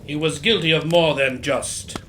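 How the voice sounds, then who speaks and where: A man playing an earnest, helpful gentleman, the loyal sidekick to a detective, in audio theatre. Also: fairly high pitch, moderate pace, lightly acted. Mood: explaining.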